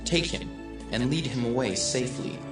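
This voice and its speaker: A man playing a furtive betrayer in a dramatized scripture reading, speaking deliberately in a roomy, distant recording. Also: mid-range voice, lightly acted. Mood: conspiratorial. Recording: roomy, distant, music behind.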